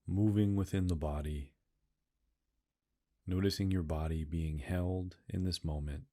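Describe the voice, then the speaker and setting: A man leading a guided meditation, speaking in slow, soothing phrases and repeating gentle cues. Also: deep voice.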